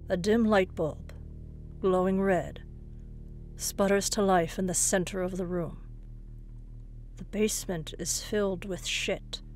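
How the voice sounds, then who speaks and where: A woman narrator reading a suspenseful scripted horror story. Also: medium pitch.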